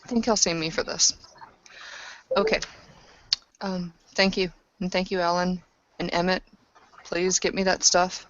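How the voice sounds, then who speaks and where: A woman with a slightly low voice chats in character during a roleplaying game. She is blunt and casual and swears freely. The sound is dull and lo-fi. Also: little pitch movement, measured pace, pauses often, no room sound.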